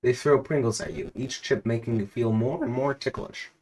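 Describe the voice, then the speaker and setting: A man giving a mock-dramatic, deadpan reading of a text adventure. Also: medium pitch, moderate pace, slight background noise, low-quality recording. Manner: deadpan narration, prone to laughing fits.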